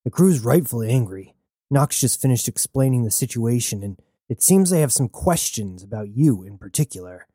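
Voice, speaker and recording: A narrator voicing a worried, cautious crewmate. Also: male voice, medium pitch, animated, moderate pace, dark tone, dry recording, studio quality, natural voice. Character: anxious cautious male crewmate.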